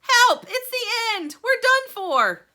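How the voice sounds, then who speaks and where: A woman voicing a frightened old grandfather who shouts in a shrill, panicky way. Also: sing-song, takes it slowly, heavily acted.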